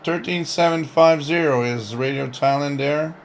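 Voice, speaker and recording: A man's voice: a little high, normal speed, slight background noise. A man in his fifties hosting a shortwave radio livestream, chatting casually in a rambling, jokey way as he reads out viewer comments. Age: 50s. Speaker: host of a live shortwave radio stream.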